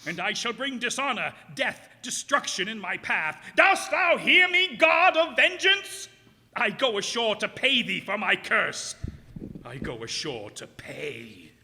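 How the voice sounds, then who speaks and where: A bitter, centuries-old cursed captain acted by a man, with a very sharp, forceful voice. He declaims slowly and grandly, like old radio melodrama, with great swings of pitch. The hall echoes around him. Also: sing-song, exaggerated voice. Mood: defiant. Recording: echoey hall stage performance.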